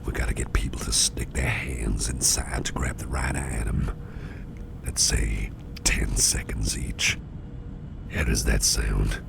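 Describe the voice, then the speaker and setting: A man playing an attentive, easygoing businessman boss in a soft, breathy, whispery ASMR roleplay, unhurried and soothing, murmuring close to the microphone. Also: level tone, full character voice. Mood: relaxed, musing. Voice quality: very low, gravelly, breathy near-whisper. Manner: unhurried, soothing, indulgent, musing aloud.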